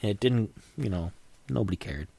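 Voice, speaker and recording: A man's voice: fairly low pitch, lively intonation, moderate pace, creaky, dark tone, slightly rough, no echo. A middle-aged man hosting a solo podcast, sniffly from a cold, rambling and opinionated with frequent restarts. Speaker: podcast host.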